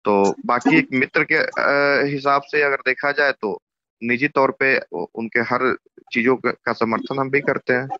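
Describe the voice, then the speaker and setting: Male interviewee, Bihari accent. Tense bright delivery, explanatory and repetitive, over a dry, close phone line. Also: a little slow, no echo. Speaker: YouTuber. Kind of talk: informal political commentary. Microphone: phone call. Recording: muffled low-bandwidth phone call recording.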